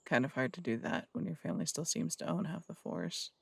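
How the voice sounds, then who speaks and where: A blunt, suspicious city detective in an audio drama, acted by a man, his voice deeply gravelly and sitting a little high. He needles with curt questions, and the sound is close and dry. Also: a little fast, fairly bright tone, no echo, exaggerated voice. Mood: wary. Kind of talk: audio roleplay drama acting.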